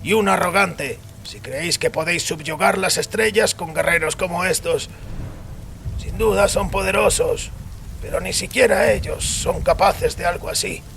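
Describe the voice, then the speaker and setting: Impassioned priest, audio fiction, strongly raised pitch, crackly fry, accusing, anguished, formal. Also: male voice, normal speed, a bit bright. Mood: indignant. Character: anguished, defiant priest challenging a ruler.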